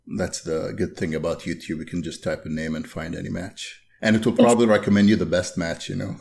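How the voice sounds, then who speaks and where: A man from Bahrain hosting a podcast, asking questions in a relaxed, friendly chat. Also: low voice, brisk, creaky. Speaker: podcast host. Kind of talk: casual interview chat.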